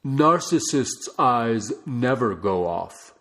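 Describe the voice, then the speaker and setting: A man reading a non-fiction book aloud as a narrator, with measured, deliberate phrasing. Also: mid-range voice, big pitch swings, bright, forceful tone. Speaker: audiobook reader.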